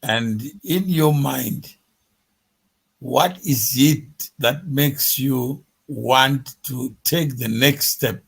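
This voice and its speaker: A man hosting an interview, asking probing questions in a slow, deliberate way. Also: mid-range voice, creaky, breathy edge. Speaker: interview host.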